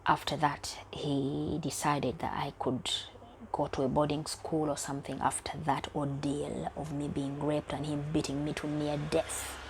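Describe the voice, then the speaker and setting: A woman's voice: low voice, little pitch movement, a little slow, a little mellow, a little hiss or hum, poor audio. A young woman from Uganda telling her own life story candidly in a personal vlog, often dropping to a near whisper. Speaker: vlogger.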